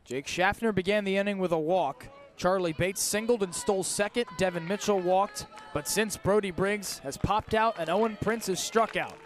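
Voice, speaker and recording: A man's voice: sits high, moderate pace, soft background music. A man doing live radio play-by-play commentary of a baseball game as the announcer.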